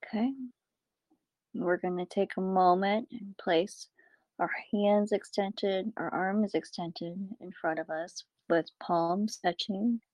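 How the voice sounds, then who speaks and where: A woman leading a guided meditation, giving calm instructions in short, measured phrases with breathy endings. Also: mid-range voice, no room sound, poor audio.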